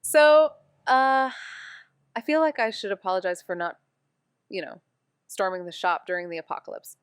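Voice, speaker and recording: Actress as crisp-voiced, wry, sweary young sleuth. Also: female voice, medium pitch, moderate pace, no room sound, lightly acted. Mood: wry, awkward. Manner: deadpan, sarcastic, casual. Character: wry, sweary, self-deprecating small-town woman amateur sleuth. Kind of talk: naturalistic audio drama dialogue.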